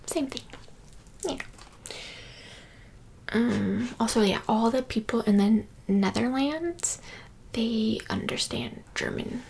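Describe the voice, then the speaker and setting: A slightly high-pitched American woman whispers her way through a German lesson, slow and meandering. Her voice has a gravelly edge, and she drops deadpan jokes as she talks. Faint noise sits behind her. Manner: whispery, rambling, deadpan joking. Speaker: language teacher. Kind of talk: whispered ASMR-style German lesson, joking. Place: indoors with books and a map.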